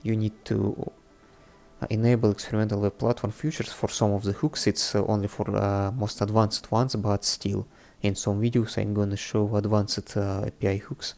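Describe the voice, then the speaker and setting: A man presenting a casual, unscripted coding tutorial, thinking aloud haltingly in non-native English. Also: fairly low pitch, level tone, creaky, a little mellow. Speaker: presenter of a programming tutorial series. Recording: faint background music under the voice. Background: faint music.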